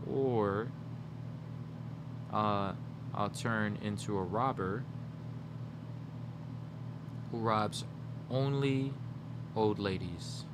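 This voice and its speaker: A young man livestreaming, chatting casually with his viewers and rambling as he brainstorms jokes out loud. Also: fairly low pitch, drawn-out words, long pauses, background noise. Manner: rambling, brainstorming jokes aloud, talking to chat. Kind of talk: casual chat with live viewers.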